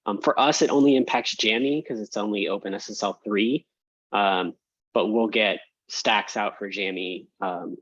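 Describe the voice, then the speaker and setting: Male, lowish voice, casual team update, dull sound with no room echo. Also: a bit bright. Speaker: project team representative. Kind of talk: casual work meeting talk. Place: online video call. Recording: dull, muffled call audio.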